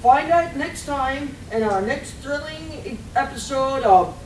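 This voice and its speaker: A woman's voice: sits high, a little slow. A woman playing a dramatic cliffhanger announcer, narrating with mock suspense. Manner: theatrical rhetorical questioning. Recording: echoey distant room, faint noise.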